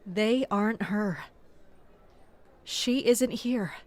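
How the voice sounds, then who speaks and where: A woman playing a guarded, watchful palace servant who narrates a fantasy audio drama in a measured, serious way. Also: medium pitch, a little mellow. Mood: anxious. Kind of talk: scripted first-person audio drama narration.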